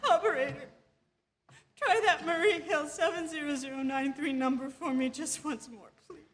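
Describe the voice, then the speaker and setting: Frantic high-voiced invalid wife. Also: female voice, normal speed, fairly bright tone. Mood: frantic impatience. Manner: dramatic, pleading, imperious. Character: anxious, demanding, self-pitying invalid wife spiraling into hysteria. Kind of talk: theatrical radio drama acting.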